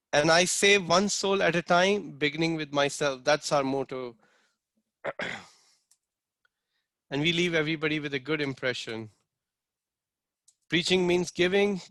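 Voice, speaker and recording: A man's voice: on the high side, fairly bright tone, dry recording. A man teaching an online class, earnest and instructive, acting out short street dialogues as examples. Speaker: book distribution instructor.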